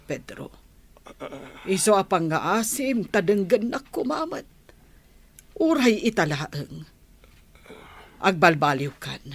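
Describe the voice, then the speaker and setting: A woman with an Ilocano accent playing a worn-out, pleading village wife in a radio drama, earnest and deliberate. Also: fairly low pitch, breathy edge.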